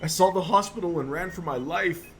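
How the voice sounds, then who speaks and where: A man's voice: high voice, brisk, background noise. A man playing a terrified, hysterical hospital patient in a horror audio drama, stammering and frantic. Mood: shaken, recounting fearfully. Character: terrified, hysterical male patient who escaped a monster.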